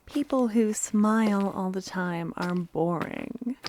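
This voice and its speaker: A woman playing a loving, obsessive cultist kidnapper in a soft-spoken ASMR roleplay, sweetly menacing, breathy and often whispering. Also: mid-range voice, a little hiss or hum. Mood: soft, consoling. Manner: slow, tender, intimate coaxing. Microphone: close ASMR microphone.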